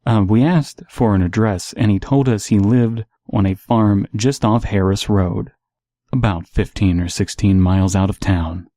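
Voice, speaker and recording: A man's voice: fairly low pitch, measured pace, mellow, dry recording, studio quality. A man reading stories aloud and giving casual, analytical commentary as the host.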